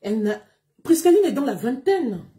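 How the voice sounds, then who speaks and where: A woman's voice: a little high, big pitch swings, moderate pace, mellow. A woman, speaking to her followers in an emphatic, declamatory livestream monologue. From Gabon. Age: 30s. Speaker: live streamer.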